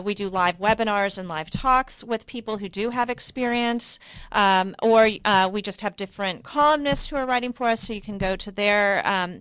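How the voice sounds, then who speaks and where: A woman's voice: medium pitch, a bit bright, smooth, no room sound. A woman, a state director of an advocacy group, explaining programs as a radio guest in an earnest way with many ums.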